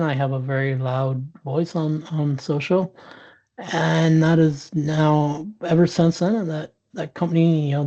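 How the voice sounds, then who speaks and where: A man's voice: on the high side, a little mellow, lo-fi sound. A man speaking as an interview guest, telling a candid story with frequent restarts and fillers over a video call. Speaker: interview guest, sales professional.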